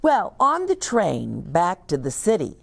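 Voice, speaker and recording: A middle-aged woman reading a picture book aloud to children, expressive and stopping to explain words. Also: on the low side, unhurried, vocal fry. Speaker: children's story reader.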